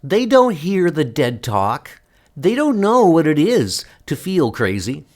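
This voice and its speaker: A man's voice: on the high side, wildly swooping pitch, slow pace, pitched above the performer's own voice, full character voice. A man voicing a troubled, bitter teenage girl who hears the dead, in a comic book dub. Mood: angry, bitter. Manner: bitter, defensive.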